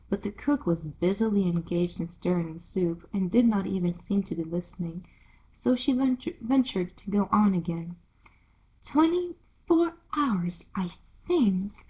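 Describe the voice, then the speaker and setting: A woman's voice: medium pitch, mellow, husky, poor audio. A woman reading a classic children's story aloud as a scripted narration. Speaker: storyteller, audiobook reader.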